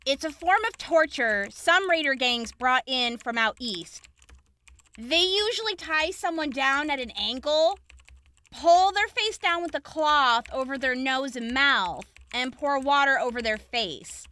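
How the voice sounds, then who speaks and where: A woman acting a brisk, clinical young examiner in a post-apocalyptic audio drama, explaining gory details frankly. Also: high-pitched, animated, sharp tone, full character voice. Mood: grim, explanatory.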